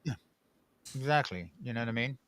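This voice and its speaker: A man who grew up in Egypt, a podcast guest talking casually in a blunt, profane, animated way. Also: medium pitch, brisk, a little hiss or hum.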